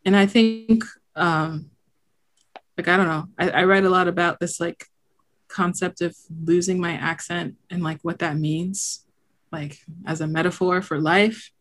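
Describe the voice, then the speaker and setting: A woman's voice: lowish voice, fairly even intonation, a little slow. Young woman from haiti, no accent left, casual podcast guest, says "like" a lot, laughs easy. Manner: reflective, lots of "like" fillers, laughs often. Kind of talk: casual conversation.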